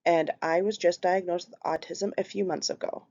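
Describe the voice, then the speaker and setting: A woman in her thirties with a Minnesotan accent and a fairly low voice reads steadily through a prepared class presentation. She sounds earnest and keeps an even, scripted delivery. The recording is slightly muffled and lo-fi. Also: normal speed. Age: 30s. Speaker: student presenter.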